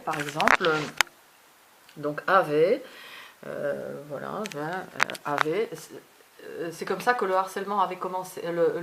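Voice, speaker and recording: Low-voiced, halting woman vlogger. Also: slight background noise. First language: not French. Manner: halting, fragmented, trailing off. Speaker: video blogger. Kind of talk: unscripted personal vlog monologue.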